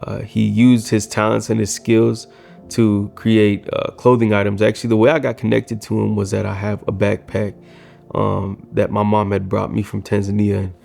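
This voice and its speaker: A man's voice: fairly low pitch, level tone, moderate pace, gravelly, darkish tone, soft background music. A male filmmaker, a native Swahili speaker, talking in a casual vlog, thoughtful and candid as he critiques his own work.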